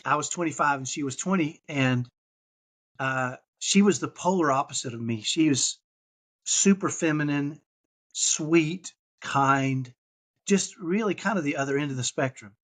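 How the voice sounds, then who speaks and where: A man with a mild Texan accent, talking as a guest on a parenting podcast. His voice sits a little high for a man. He rambles and restarts, circling back with fillers as he goes. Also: measured pace, a bit bright. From Texas. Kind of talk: casual interview chat.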